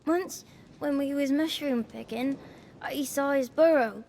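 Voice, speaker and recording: High-voiced, wary peasant child. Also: female voice, a little mellow, a little hiss or hum. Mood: wary, worried. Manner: halting, plain rustic speech. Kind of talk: video game cutscene acting.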